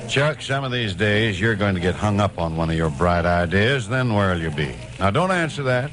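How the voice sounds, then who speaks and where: A man with an American accent playing a gruff, folksy, fatherly pal who needles his friend in an old radio detective drama. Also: lowish voice, very animated, moderate pace, fairly bright tone. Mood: teasing. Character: gruff, teasing male pal, folksy and paternal. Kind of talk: 1940s-50s radio drama acting.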